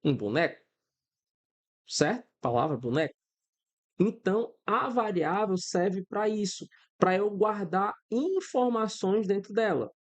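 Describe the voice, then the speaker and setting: A Brazilian man in his thirties teaching a coding class step by step, checking in with his students often and using everyday examples. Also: high-pitched. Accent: Brazilian Portuguese. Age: 30s. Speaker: programming instructor. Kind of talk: screen-recorded coding lesson.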